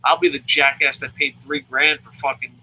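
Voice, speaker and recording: A man's voice: mid-range voice, little pitch movement, moderate pace, sharp tone, slight background noise, rough recording. A man hosting a casual wrestling review podcast, rambling and swearing freely, with stammering restarts. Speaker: wrestling review show host. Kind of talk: casual podcast chat, profane. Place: lives in Japan.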